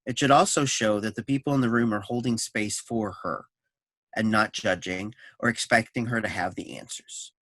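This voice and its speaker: A man's voice: on the low side, moderate pace, fairly bright tone, no room sound. Man reading stage directions, dry close sound. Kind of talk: scripted narration for a staged play reading.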